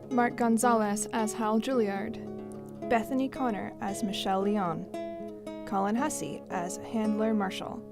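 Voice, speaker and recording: A woman's voice: medium pitch, fairly even intonation, unhurried. A woman reading scripted credits as an announcer. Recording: voice under loud guitar music. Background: loud music with plucked guitar.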